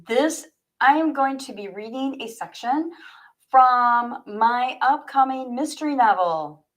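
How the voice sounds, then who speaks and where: A woman's voice: high voice, expressive, slow, fairly bright tone, poor audio. A woman livestreaming as an author, chatty and informal as she speaks straight to her viewers. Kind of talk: casual livestream chat, then reading own fiction aloud.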